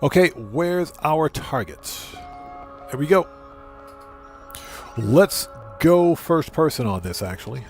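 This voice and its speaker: Male game streamer, slightly high voice, chatty and informal, music underneath. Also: lively intonation, takes it slowly, pauses often, a little mellow. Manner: chatty, thinking aloud, addressing viewers informally. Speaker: livestream host. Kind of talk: casual video game livestream commentary.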